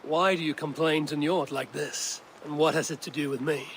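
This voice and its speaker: There is clear ambient noise behind a steady Viking warrior. The voice is somewhat high for a man, and the lines are terse, serious and questioning, in video game drama style. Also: moderate pace. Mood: firm.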